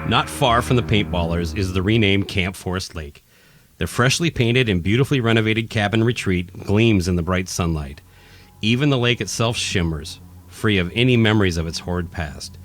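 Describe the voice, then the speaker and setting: A man's voice: on the low side, normal speed, soft background music. A man reading scripted narration for a radio play. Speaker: narrator, podcast host.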